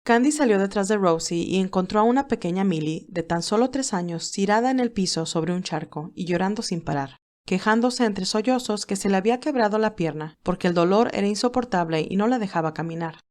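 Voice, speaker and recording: Measured woman narrator, medium pitch. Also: animated, a little mellow. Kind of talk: scripted story narration.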